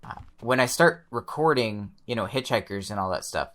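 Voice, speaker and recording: A young man with a slightly hoarse voice, quietly talking through his gameplay, often pausing and restarting his sentences. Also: medium pitch, expressive. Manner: thoughtful, pauses and restarts sentences; soft-spoken, many fillers. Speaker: let's play host. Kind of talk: relaxed gameplay commentary.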